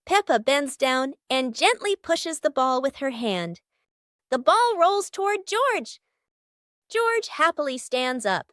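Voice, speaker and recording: A woman's voice: sits high, very animated, slow, a bit bright, no room sound, clean studio sound. A woman reading scripted children's story narration in short, simple storybook sentences.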